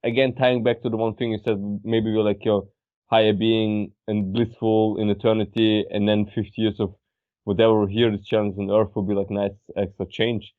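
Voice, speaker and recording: A man's voice: fairly low pitch, flat delivery, normal speed. A man co-hosting a casual podcast, talking in a rambling way with many restarts as he searches for words. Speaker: podcast co-host. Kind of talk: casual philosophical chat. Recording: tinny phone-like sound.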